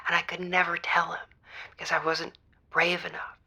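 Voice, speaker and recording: Caller in comedy audio drama: lowish female voice, husky-breathy, earnest oversharer who rambles with starry-eyed enthusiasm. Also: monotone, normal speed, sharp tone, heavily acted. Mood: wistful, emotional. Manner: breathy, rambling, earnest, asks rhetorical questions. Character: Morgan, gushing theater-loving caller to a radio show.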